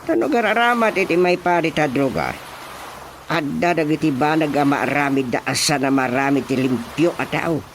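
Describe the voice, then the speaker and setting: A man's voice: fairly high pitch, normal speed, slight background noise, natural voice. A man playing an earnest village chairman in a Filipino radio drama, speaking in an emphatic, admonishing manner. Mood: grave, concerned. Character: male village chairman, earnest, grave local authority.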